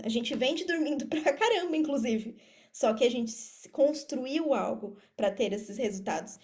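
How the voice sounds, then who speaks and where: A woman in her thirties with a Brazilian accent and a fairly high, mellow voice. She answers as a podcast guest in an informal, explanatory way. She often repeats phrases to drive a point home. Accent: Brazilian Portuguese. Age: 30s. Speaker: podcast guest, affiliate marketer and mentor. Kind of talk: conversational interview answers.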